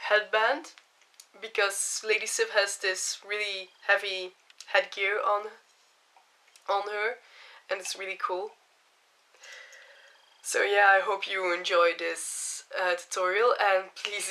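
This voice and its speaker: Young woman hosting a makeup tutorial, voice hoarse from a cold, bright tone, slow and easy pace. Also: medium pitch. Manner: chatty, explains each step plainly.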